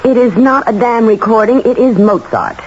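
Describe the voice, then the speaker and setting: An actress playing a breezy, teasing, spendthrift society wife in a 1970s radio drama, coquettish and unbothered. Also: female voice, fairly high pitch, normal speed, a little mellow, natural voice. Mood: airy, haughty. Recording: dull vintage radio, faint hiss.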